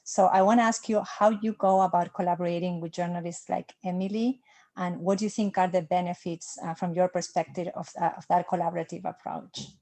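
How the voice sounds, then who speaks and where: Low-voiced woman moderating a panel. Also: fairly even intonation, warm tone. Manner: measured, asks long framed questions.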